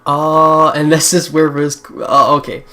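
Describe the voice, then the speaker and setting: A man's voice: on the high side, flat delivery, a little slow, bright, forceful tone. A man hosting a let's play, commenting and reading the story text aloud. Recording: clearly noisy background.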